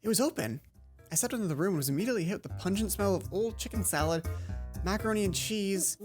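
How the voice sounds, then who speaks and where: A woman's voice: mid-range voice, lively intonation, fast talker, darkish tone, soft background music. A woman reading a visual novel game aloud, tripping over the text and breaking off into casual, exasperated commentary. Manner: stumbles over read text, exasperated asides. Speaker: let's play host.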